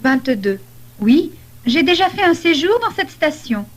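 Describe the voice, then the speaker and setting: A woman playing a polite young holidaymaker in a scripted language-lesson dialogue, speaking deliberately. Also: sits high, very animated. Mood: polite, helpful.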